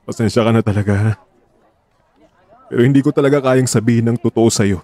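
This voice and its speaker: A resigned, secretive male lover in a radio drama, played by a man. His dark, soft-edged voice is subdued and apologetic as he pushes his partner away. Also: medium pitch, a little fast, dry recording, lightly acted. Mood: resigned, sorrowful. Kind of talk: Tagalog radio drama acting.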